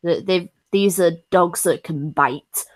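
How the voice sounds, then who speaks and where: A woman streamer chatting casually with supporters, hoarse from losing her voice, talking haltingly with whispered asides. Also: lowish voice. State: hoarse, has lost her voice. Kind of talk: casual chat.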